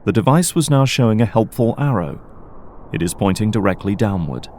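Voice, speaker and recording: A man's voice: lowish voice, animated, a little fast, a little mellow, a little hiss or hum. A man giving scripted narration as the storyteller of an audio drama.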